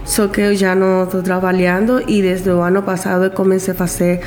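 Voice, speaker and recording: A woman's voice: lowish voice, level tone, a little mellow, slight background noise. Venezuelan woman with a Spanish accent. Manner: simple, slightly halting phrasing. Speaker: interview guest, language student. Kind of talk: casual interview answers.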